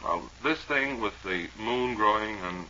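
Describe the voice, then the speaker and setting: A man's voice: on the low side, unhurried, bright, forceful tone. A man hosting a radio show with wry, rambling, playful comic banter. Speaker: radio host. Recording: dull old radio recording, faint noise.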